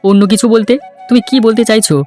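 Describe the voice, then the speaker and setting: A man plays a good-natured village farmer in a cartoon fairy tale. He talks quickly and reasonably to his wife, with clear music behind him. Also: sits high, level tone, a little mellow, natural voice. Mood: content, reasonable. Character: easygoing, hardworking male village farmer, a husband.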